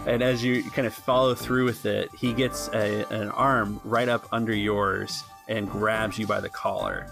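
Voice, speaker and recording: Low-voiced man running a game over music. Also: flat delivery, unhurried, vocal fry, few pauses. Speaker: game master. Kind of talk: tabletop game narration, casual. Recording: music behind the voice.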